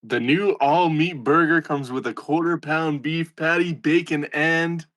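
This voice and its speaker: Male commercial announcer, played by a man, higher register, dry sound, pitching products in a spoof advert. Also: steady tone, a little slow, a bit bright, no room sound. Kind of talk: spoof advert read at a table read.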